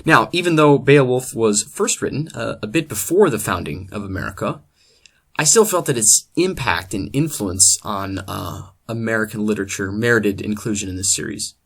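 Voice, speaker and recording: A man presenting a comedic scripted literature lecture as host, in a deadpan, mock-scholarly manner. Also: fairly low pitch, normal speed, vocal fry.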